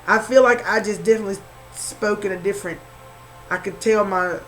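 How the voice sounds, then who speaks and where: A woman's voice: mid-range voice, brisk, a bit bright, soft background music, low-quality recording. A woman with a Southern accent doing an informal tarot reading, repeating herself and calling the listener honey. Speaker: spiritual reader.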